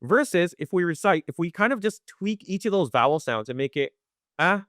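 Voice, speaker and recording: A man teaching Quran recitation in a webinar, talking conversationally, often checking in with "right?" and sounding out recitation slowly. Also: high voice, lively intonation, a bit bright, no room sound, clean studio sound. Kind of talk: live webinar lesson.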